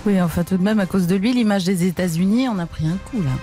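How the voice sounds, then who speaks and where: A woman's voice: mid-range voice, quick pace, over music. A woman co-hosting on radio, playing the straight interviewer in a scripted comic exchange. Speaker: radio co-host, straight partner.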